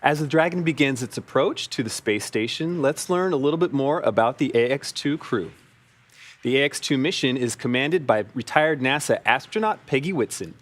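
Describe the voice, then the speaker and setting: A man co-hosting live mission coverage, reading scripted crew biographies in an even delivery. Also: medium pitch, moderate pace. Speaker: broadcast co-host.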